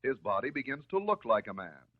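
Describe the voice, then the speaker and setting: American man, 1950s educational film narration, phone-like vintage soundtrack, husky voice, lively intonation, measured and reassuring. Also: mid-range voice. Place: voice-over recorded for film. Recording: muffled, narrow-band 1950s film soundtrack.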